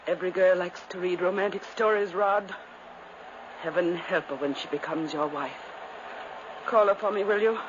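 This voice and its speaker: A woman's voice: lowish voice, moderate pace, natural voice. A dying noblewoman acted by a woman who commands and pleads with gothic fearfulness. The delivery is theatrical vintage radio drama, on a muffled, noisy old recording. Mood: commanding. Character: dying, fearful, domineering twin sister, Lady Madeline. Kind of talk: 1950s radio drama acting.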